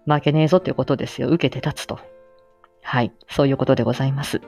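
A woman's voice: very deep voice, steady tone, very fast, darkish tone, faint music behind. A woman hosting a casual livestream, talking in a humble, effusive and playfully feisty way. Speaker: livestream host, amateur voice actor.

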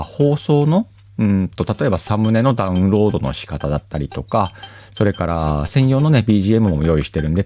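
A man's voice: sits low, a little mellow. A man hosting a casual solo talk show, reading listener letters aloud in a chatty, self-mocking way with many fillers. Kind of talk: casual solo radio-style chat. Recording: faint background music.

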